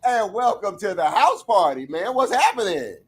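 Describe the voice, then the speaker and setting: A man with a strikingly high voice hosts an online alumni show. He chats casually between guests and shouts with excitement when he brings someone on. He speaks over a video call. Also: normal speed, slightly rough. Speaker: show host. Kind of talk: hype introduction and casual interview chat.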